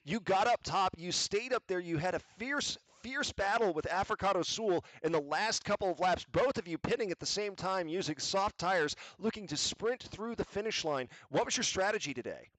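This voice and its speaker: A man's voice: high-pitched, expressive, normal speed, few pauses, a bit bright, no room sound, poor audio. A man hosting a sim racing league stream, interviewing the winner in a formal broadcaster manner with some fillers. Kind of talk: live stream commentary interview. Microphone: desktop mic on voice chat.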